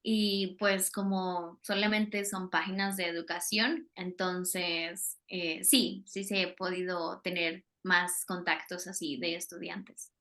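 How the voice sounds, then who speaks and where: A woman's voice: on the low side, fairly even intonation, takes it slowly, hardly any pauses, pushed and bright. A Colombian woman, a Spanish teacher, talking casually in an interview over a video call, hesitating with many fillers.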